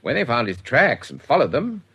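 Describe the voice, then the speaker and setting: An actor playing a jovial, brisk British police records man in a radio drama, chatty and clipped in his delivery. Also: mid-range voice, sing-song, sharp tone, slightly rough, exaggerated voice. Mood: matter-of-fact recounting. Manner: chatty, clipped sentences with bursts of amusement.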